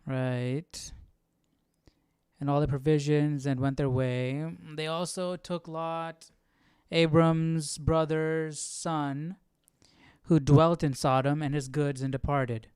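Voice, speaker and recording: A man hosting a Bible study, reading aloud casually and haltingly, thinking aloud in asides. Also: on the high side, little pitch movement, darkish tone, smooth, no room sound.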